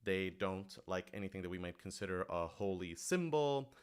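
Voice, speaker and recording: Clear-voiced man in casual livestream chat. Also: low-pitched, expressive, moderate pace. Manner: informal, thinking aloud with self-corrections. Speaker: podcast writer-director hosting a livestream Q&A.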